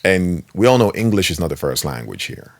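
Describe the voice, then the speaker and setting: A man hosting a casual interview podcast, talking with enthusiasm, quick to laugh and swinging between loud and soft. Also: low voice, creaky, dry recording. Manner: animated, laughs easily, enthusiastic. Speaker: podcast host.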